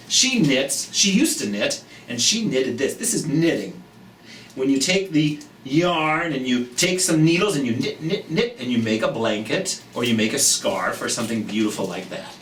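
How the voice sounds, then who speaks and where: A children's storytime host reading a picture book aloud, slowly and expressively, with chatty asides to young listeners. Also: mid-range voice. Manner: slow, expressive, speaking directly to children. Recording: roomy, distant mic, background noise.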